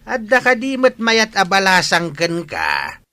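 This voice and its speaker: A man's voice: high-pitched, wildly swooping pitch, measured pace, pushed and bright, exaggerated voice. A man playing a stern, worried father in an audio drama, speaking with emphatic admonishment. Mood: admonishing. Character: stern, worried father advising his grown son.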